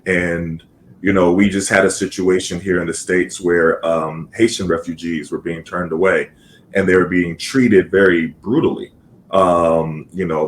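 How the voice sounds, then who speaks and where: A man's voice: sits low, a little hiss or hum. A man hosting an interview podcast, asking long, earnest questions with many fillers. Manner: earnest, lots of fillers and restarts. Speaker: podcast host.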